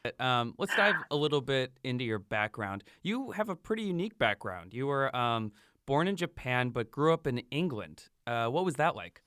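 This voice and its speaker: Bright-voiced jokey male podcast host. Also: medium pitch, big pitch swings, clear, resonant tone, dry recording, studio quality. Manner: jokey, quick banter. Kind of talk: casual podcast chat and interviewing.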